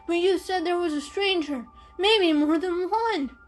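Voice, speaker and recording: A woman's voice: extremely high pitch, a little slow, soft background music, pitched above the performer's own voice. A woman voicing a frightened, stubborn young male kitten with a whiny delivery in an animal storybook reading. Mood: scared, protesting. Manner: whiny, protesting. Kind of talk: children's animal story character voice.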